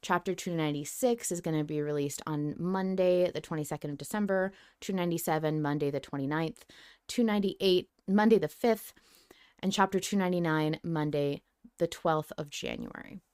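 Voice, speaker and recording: A woman hosting a podcast in casual, informal chat, with an excitable, sweary streak. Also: deep voice, normal speed, clean tone, no room sound, studio quality. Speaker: podcast host. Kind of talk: casual podcast chat, reading announcements aloud.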